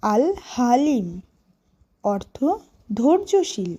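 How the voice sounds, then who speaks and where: A woman with a high, mellow voice gives a children's lesson. She recites extremely slowly and deliberately, phrase by phrase, with a strongly rising and falling melody. Her delivery is instructional. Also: sing-song. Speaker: teacher. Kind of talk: children's educational lesson, reciting names with meanings.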